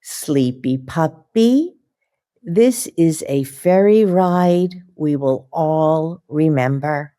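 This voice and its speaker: A woman voicing a cheerful little girl who cares for her puppy, in a gentle, sing-song read-aloud manner. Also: sits low, lively intonation, drawn-out words, full character voice.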